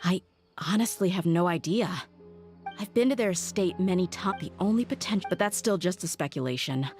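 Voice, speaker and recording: A woman's voice: fairly low pitch, brisk, darkish tone, natural voice. An actress playing a tough, cool-headed young mercenary woman in a video game, confident, blunt and sardonic. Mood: pensive. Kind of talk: English video game voice acting. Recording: music behind the voice.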